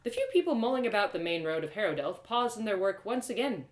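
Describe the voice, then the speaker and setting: A woman reading a story aloud as an expressive first-person narrator. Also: medium pitch, fast talker. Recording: roomy, echoing live reading.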